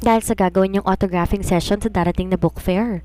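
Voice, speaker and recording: A woman's voice: medium pitch, steady tone, quick pace, mellow, dry recording. A woman with a Filipino accent narrating a romance novel as a scripted story.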